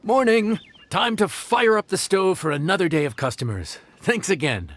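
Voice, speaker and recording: An actor playing a friendly, encouraging restaurant worker in a video game, easygoing and obliging. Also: male voice, high voice, sing-song, normal speed, a bit bright, a little hiss or hum. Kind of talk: natural video game voice acting.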